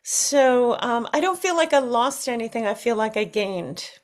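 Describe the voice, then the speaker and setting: A middle-aged American woman reflecting in an interview, thoughtful and often halting as she searches for words. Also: on the high side, expressive. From United States. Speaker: interview guest, singer.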